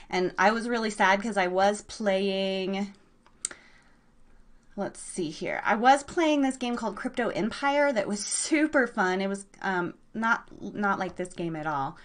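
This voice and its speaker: An excitable, bubbly woman chatting casually and slangily as she hosts a live internet radio show. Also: mid-range voice. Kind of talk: casual rambling livestream chat with an online audience.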